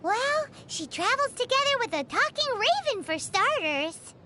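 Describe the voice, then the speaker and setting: A woman voicing a tiny, childlike fairy companion in a video game, squeaky, cheeky, excitable and easily offended. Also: unhurried, slight background noise, full character voice. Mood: curious, hesitant. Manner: refers to self in third person, exclaiming, bubbly. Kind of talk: English video game voice acting. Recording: music behind the voice. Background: music.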